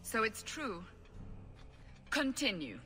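An actress playing a stern, impatient holy warrior interrogator, commanding and confrontational in clipped phrases. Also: female voice, fairly high pitch, sing-song, takes it slowly, bright, forceful tone, exaggerated voice. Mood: stern, suspicious. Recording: long reverb, faint music behind.

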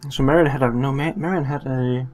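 Casting: male let's play host, irreverent and sarcastic, mid-pitched voice, music underneath. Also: steady tone, brisk, a little mellow. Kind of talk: joking video game commentary.

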